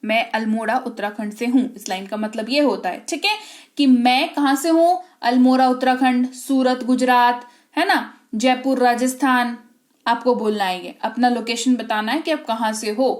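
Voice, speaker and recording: Young Indian woman teacher, fairly high voice, deliberate and emphatic. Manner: slow, emphatic, checks understanding often. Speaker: English teacher. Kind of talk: spoken English lesson.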